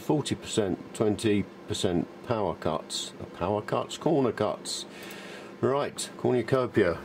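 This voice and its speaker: Low-voiced British man, chatty workshop demo. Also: darkish tone. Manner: rambling, self-deprecating, chatty. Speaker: hobbyist maker presenting a workshop tutorial. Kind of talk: casual unscripted how-to demonstration. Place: home workshop indoors. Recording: clear background noise and clicks in a workshop.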